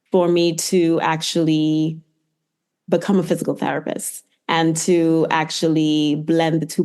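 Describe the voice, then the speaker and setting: A middle-aged woman from Trinidad and Tobago speaks as an interview guest. Her voice is low for a woman. She tells her story in a reflective, conversational style. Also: darkish tone. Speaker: podcast guest, physical therapist.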